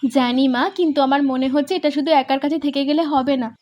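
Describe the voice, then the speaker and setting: A woman voicing an earnest, eager young village daughter in an animated cartoon. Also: high-pitched, fast, muffled recording, natural voice.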